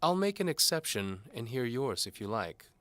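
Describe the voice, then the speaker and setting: Male actor as patronizing bishop, raised pitch, bright forceful tone, formal, condescending, menacing, audio drama villain. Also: sing-song, moderate pace, no echo. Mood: smug, menacing. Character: pompous, menacing bishop interrogating a prisoner, patronizing. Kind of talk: audio drama character acting.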